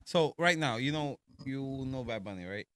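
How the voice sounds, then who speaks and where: A man with a Puerto Rican accent chats on a podcast, his bright voice leaping up and down as he argues his point with a laugh. The sound is dry and close. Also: medium pitch, lively intonation, moderate pace, no echo. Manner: laughing, insistent, talks back with swearing. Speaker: podcast co-host. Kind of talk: casual chat.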